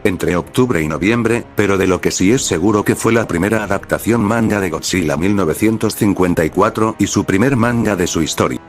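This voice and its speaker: A man's voice: low-pitched, fairly quick, few pauses. A man narrating a scripted retrospective video as its presenter.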